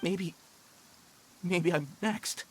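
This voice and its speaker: A scared miner in a video game, nervous and shaky, pleading. His voice sits a little high, with faint noise around it. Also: drawn-out words, a little mellow. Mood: frightened. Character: frightened working-class male miner.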